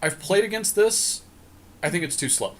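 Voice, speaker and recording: A man's voice: high-pitched, animated, moderate pace. A man co-hosting a podcast, casually thinking aloud as he comments on a card game draft. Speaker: podcast co-host. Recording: clearly noisy background.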